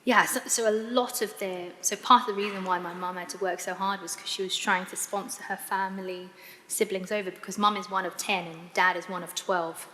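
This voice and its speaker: A woman's voice: medium pitch, steady tone, a little fast, bright, forceful tone. A woman with a British accent telling her personal story as a guest in a live interview. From United Kingdom. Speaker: interview guest giving testimony. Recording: echoey hall, clear background noise.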